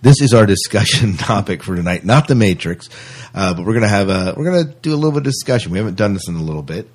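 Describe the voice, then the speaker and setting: A man's voice: lowish voice, expressive, fast, a little mellow, breathy edge. A man hosting a podcast in casual chat, rambling along and often rephrasing himself. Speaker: podcast host.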